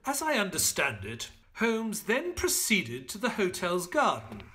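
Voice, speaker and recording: A man playing an earnest detective's sidekick who tells the story in an audio drama, in a measured, descriptive way. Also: high voice, huge pitch swings, sharp tone, full character voice. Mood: measured, narrating. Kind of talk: audio drama narration and dialogue.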